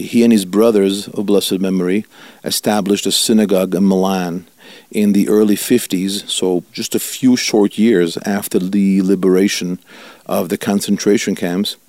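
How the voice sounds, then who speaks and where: A man in his fifties whose first language is Italian speaks as a rabbi in an interview, earnest and measured, stretching important words. His voice is low and mellow, recorded dry and close. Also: no room sound. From Italy. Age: 50s. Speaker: rabbi, guest. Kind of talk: reflective interview answers.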